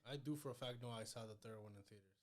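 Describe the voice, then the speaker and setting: A young man co-hosting a casual podcast, excitable and slangy, peppering his talk with "like". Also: medium pitch, rapid-fire. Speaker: podcast co-host. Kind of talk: casual chat.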